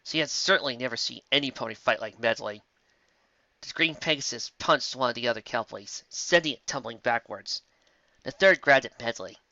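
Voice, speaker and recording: A man narrating a fan fiction audiobook, with a lively storytelling delivery. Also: medium pitch, no room sound, highs cut off. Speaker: audiobook reader.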